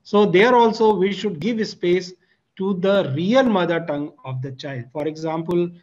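A man's voice: sits high, darkish tone. Older Indian man, formal keynote speaker, hesitant delivery, lo-fi call audio. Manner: halting, many fillers. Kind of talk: formal conference address. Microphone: video call. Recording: dull video call audio.

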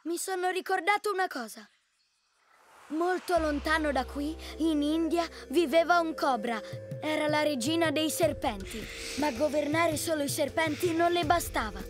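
A woman's voice: high-pitched, normal speed, natural voice. A woman voicing a young cartoon animal cub who tells an old jungle legend like a storyteller. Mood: earnest storytelling. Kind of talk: scripted storytelling in a children's cartoon. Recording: music behind.

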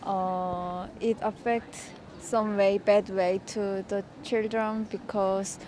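A young woman, not a native English speaker, giving a halting street interview answer outdoors with many fillers. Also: mid-range voice, flat delivery, warm tone, slight background noise. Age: young adult. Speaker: passer-by interviewee. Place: city park outdoors.

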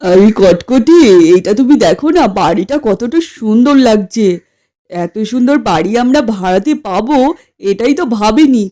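A woman's voice: medium pitch, expressive, normal speed, warm tone, lightly acted. A woman voicing a placid, doting husband in a comedy cartoon, coaxing and using bits of English. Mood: pleased, coaxing. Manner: coaxing, sprinkles English words. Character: placid, doting husband soothing his fiery wife. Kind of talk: exaggerated comedy cartoon acting.

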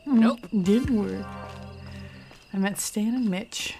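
A woman's voice: mid-range voice, takes it slowly, vocal fry, dark tone, faint music behind. A woman casually talking through a video game as she plays, chatty and muttering to herself. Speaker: gaming streamer. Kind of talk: casual let's-play commentary.

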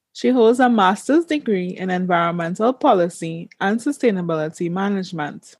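A woman's voice: mid-range voice, darkish tone, no room sound. A woman hosting a podcast, speaking in prepared introductions and interview questions. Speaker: podcast host.

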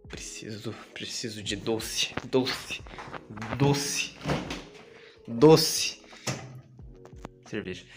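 A young man from Brazil carries his phone through his kitchen and bedroom, clowning around for his viewers. His voice sits at an ordinary middle pitch, dropping to mumbles and then jumping to near-shouts. Lo-fi music plays faintly behind him. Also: very slow, long pauses. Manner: playful, self-mocking, drops to mutters then shouts. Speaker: YouTuber giving a house tour. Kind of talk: casual vlog, joking to the viewers. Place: home kitchen and bedroom, indoors. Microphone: handheld phone camera. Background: lo-fi music playing.

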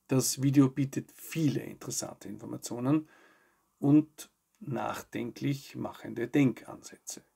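A man hosts a news show. His mid-pitched voice is husky, with plenty of creak. He delivers scripted commentary in a measured, articulate way. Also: darkish tone. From Austria. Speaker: host of a weekly news video show.

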